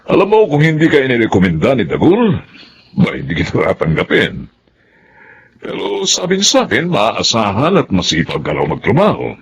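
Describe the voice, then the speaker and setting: An actor playing a gruff but fair Filipino boss in a radio drama, cautioning with authority. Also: male voice, mid-range voice, wildly swooping pitch, moderate pace, full character voice. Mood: stern but friendly. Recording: dull lo-fi, faint ambient noise.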